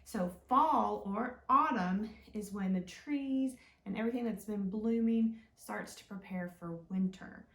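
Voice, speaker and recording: A woman's voice: mid-range voice, unhurried, hardly any pauses. A woman hosting a children's storytime, reading and explaining in a sing-song way with simple, encouraging questions. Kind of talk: read-aloud and teaching for young children. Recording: echoey room, mic at a distance.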